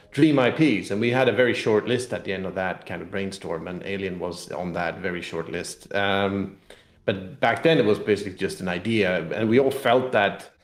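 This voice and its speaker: In a very echoey room, a man with a Swedish accent talks in a lowish voice. He explains things casually as an interview guest, often restarting and restating his phrases. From Sweden. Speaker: guest, game designer and company head.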